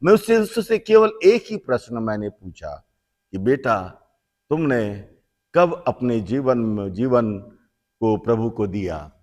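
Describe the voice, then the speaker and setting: A man preaching a sermon as a bishop, slow and emphatic, swinging from loud repeated phrases down to soft, quiet lines. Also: medium pitch, very animated. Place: church. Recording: long church reverb.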